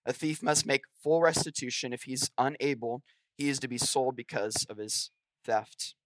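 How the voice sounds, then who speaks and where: Young guest preacher, scratchy from a cold, earnest sermon delivery, dry recording. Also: male voice, medium pitch, moderate pace, fairly bright tone, no room sound.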